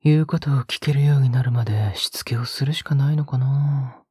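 A man playing a soft-spoken, possessive captor in an audio drama, coaxing in a hushed, breathy whisper. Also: mid-range voice, brisk, dry recording, studio quality. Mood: menacing, soft. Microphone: close, dry studio mic.

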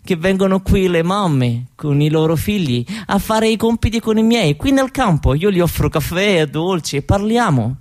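A woman's voice: fairly low pitch, expressive, moderate pace, hardly any pauses, darkish tone, lightly acted. An actress playing a proud Roma mother from Romania in a conversational stage monologue, with an Eastern European accent and broken grammar. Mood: proud, chatty. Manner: broken foreign-speaker grammar, conversational, rhetorical tags. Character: proud, cheerful Roma woman from Romania, mother, chatting hospitably. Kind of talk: theatrical monologue drawn from interviews.

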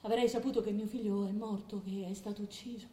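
A woman's voice: mid-range voice, steady tone, fast, mellow, close to an everyday voice. An actress playing a scheming queen who relentlessly goads in a theatrical, insistent way, repeating her phrases. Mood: cold, insistent. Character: scheming queen, mother plotting against her own son, relentless. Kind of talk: theatrical stage drama acting. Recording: distant, echoey hall with background noise.

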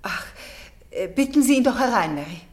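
An actress playing a troubled, suspicious young lady of the house in a German radio play, with a husky, breathy voice and hesitant, restrained delivery. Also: fairly high pitch, expressive, brisk, a little hiss or hum, natural voice. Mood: polite, subdued.

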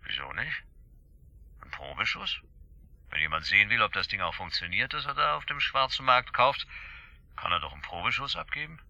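An actor playing a dry, sceptical chief inspector, laconic and matter-of-fact, in a radio drama. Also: male voice, on the low side, very animated, a little fast, creaky, pauses often, bright, forceful tone, no echo, muffled recording, heavily acted. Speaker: detective.

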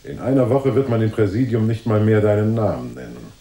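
Unruffled police detective in a radio drama, low mellow voice, quietly authoritative, echoey room. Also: male voice, sing-song, a little fast. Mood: calm, firm. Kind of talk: noir radio drama acting.

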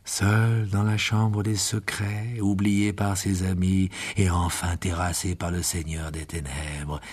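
A man voicing a cruel, gloating young dark wizard, speaking in a slow, low, menacing murmur. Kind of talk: audiobook character voice.

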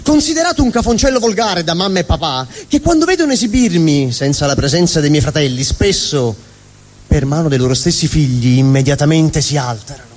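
A man's voice: fairly high pitch, expressive, a little fast, pitched below the performer's own voice. A man performing a theatrical comic stage monologue as an angry, vulgar but honest middle finger, ranting sardonically. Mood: indignant, wounded pride. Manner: ranting, sardonic, swooping between mutter and shout. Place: live stage at a fundraising event. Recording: echoey hall reverb, live stage.

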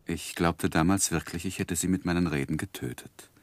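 A man's voice: deep voice, moderate pace. An actor playing an earnest, tender, lovestruck young man who tells his life in a 1960s German radio drama, with careful literary phrasing. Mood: reflective narrating.

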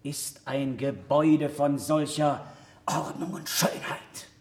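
An actor playing a pompous, condescending, learned court philosopher in a radio drama, with ceremonious delivery. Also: male voice, fairly high pitch, takes it slowly. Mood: grandiose. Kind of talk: theatrical radio drama acting. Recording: echoey room radio play recording.

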